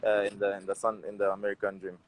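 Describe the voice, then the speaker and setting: A man in his twenties with a Ugandan accent, telling personal stories in casual chat as an interview guest, animated and repeating phrases for emphasis. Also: on the low side, fast talker, a little hiss or hum. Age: 20s. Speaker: rugby player, interview guest.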